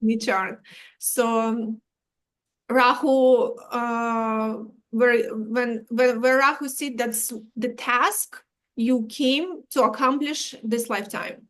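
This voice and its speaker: A woman talks slowly as an interview guest. She pauses, restarts her sentences and drops small words. Her voice sits a little high. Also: fairly even intonation, fairly bright tone. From Ukraine. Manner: halting, many restarts, drops articles. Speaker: Vedic astrologer guest. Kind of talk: explanatory interview talk.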